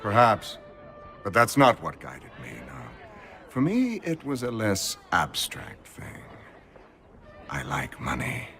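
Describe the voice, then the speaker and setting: An actor playing a cynical, mercenary colonial physician in a video game, arguing in a theatrical, emphatic, rhetorical way. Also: male voice, on the low side, huge pitch swings, takes it slowly, bright, forceful tone, soft background music, full character voice. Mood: sly, frank. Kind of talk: video game cutscene acting.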